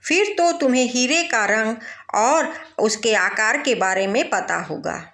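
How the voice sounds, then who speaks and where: Woman as shrewd male court advisor, storybook, composed, commanding, slow, lively intonation. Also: fairly high pitch, roomy sound. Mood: calm command. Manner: calm, commanding. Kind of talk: storybook character voice.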